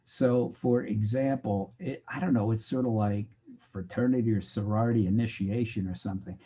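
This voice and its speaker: A man's voice: lowish voice, fairly even intonation, moderate pace, dark tone, slightly rough. An older American man giving a recorded sociology lecture, talking in a loose, conversational way with digressions, and acting out the exchanges he quotes. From United States. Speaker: sociology instructor.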